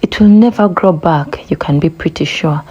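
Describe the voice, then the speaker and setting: A woman's voice: low voice, normal speed, warm tone, slightly rough, muffled recording. A woman voicing a wrinkled old male elephant in a storybook, kindly, earnest and thoughtful. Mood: grave.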